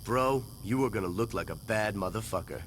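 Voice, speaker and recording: A man's voice: on the low side, fairly quick, lightly acted. An actor playing a brash, laid-back male rocker tattoo artist in a video game. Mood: cocky, casual. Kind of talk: video game cutscene acting. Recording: faint background noise.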